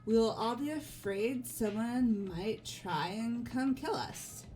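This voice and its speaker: A woman voicing a game character in a fan dub, calm and composed. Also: fairly high pitch. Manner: calm, measured, composed delivery. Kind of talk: fan voice acting of a game script. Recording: faint music behind. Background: faint game music.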